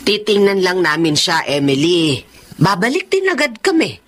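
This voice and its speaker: A woman's voice: on the low side, big pitch swings, moderate pace. A female actor playing a devoted, worried mother who pleads emotionally in a radio drama. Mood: tender worry. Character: anxious middle-aged mother, devoted and tender.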